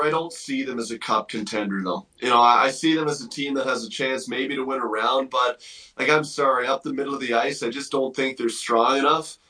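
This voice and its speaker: A man's voice: medium pitch, pushed and bright, far from the mic, low-quality recording. A man giving opinionated hockey analysis as a guest on a sports radio interview, conversational and emphatic, thinking aloud as he goes.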